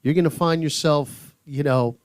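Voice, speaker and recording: A man who calls games on radio, chatting on a sports podcast with measured, drawn-out emphasis. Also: a little high, fairly even intonation, no room sound, clean studio sound. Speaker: radio play-by-play announcer. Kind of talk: casual sports podcast chat.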